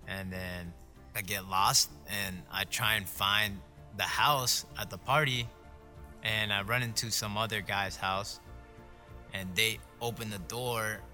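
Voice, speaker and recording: A man's voice: fairly low pitch, fairly even intonation, slow, bright, forceful tone. A man in his twenties, born in Peru, telling his personal story casually. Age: 20s. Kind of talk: personal testimony. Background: music.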